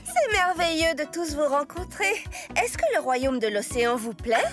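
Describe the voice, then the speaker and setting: A high, swooping young cartoon heroine. She is a cheerful dolphin girl with a lively, encouraging manner. Music plays clearly behind her. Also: normal speed. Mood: cheerful, welcoming. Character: Dorinda, cheerful reassuring dolphin girl, leader of stunt swimmers. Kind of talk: French animated series dubbing. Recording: voice over background music.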